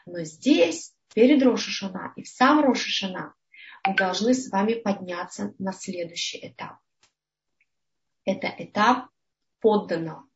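A woman's voice: mid-range voice, lo-fi sound. Woman teaching a religious class. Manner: explanatory, often checks in with "да?". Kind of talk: lecture with slides.

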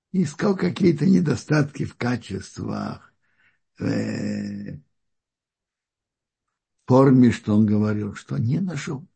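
A man giving a religious lesson on scripture, explaining slowly and often stopping to restart his sentences as he searches for words. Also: on the high side, vocal fry, dark tone, breathy edge. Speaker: Torah teacher.

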